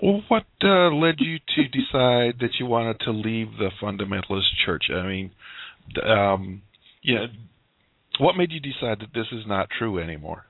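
A man's voice: on the low side, very animated, moderate pace, no echo. A man hosting a talk show, asking questions and telling his own story in a casual, chuckling way with many fillers. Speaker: show host. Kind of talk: casual interview chat. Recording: dry tinny phone-like line.

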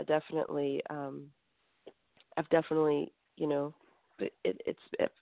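A woman's voice: sits low, vocal fry, no room sound. A woman born in Greece, a musician guest in a radio interview, talking reflectively with many fillers over a phone line.

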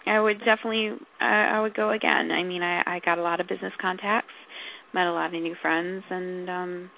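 A woman co-host talks in a loose, rambling way, full of ums and restarts. Her voice is gravelly and a bit hoarse, as if she is losing it. The sound is thin and phone-like. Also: fairly low pitch, little pitch movement, brisk, slight background noise. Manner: rambling, lots of fillers and restarts. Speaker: podcast co-host. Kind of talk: casual chat. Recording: tinny phone-like call audio.

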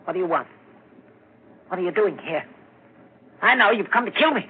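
A man's voice: high voice, animated, normal speed. An actor playing a terrified, pleading male victim in 1940s radio horror style. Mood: panicked. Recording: muffled, noisy 1940s radio recording.

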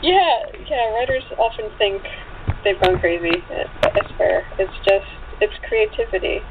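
A woman's voice: mid-range voice, lively intonation, measured pace. Woman guest on a hissy phone line. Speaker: interview guest, writer and actress. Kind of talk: casual chat. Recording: thin phone line with hiss.

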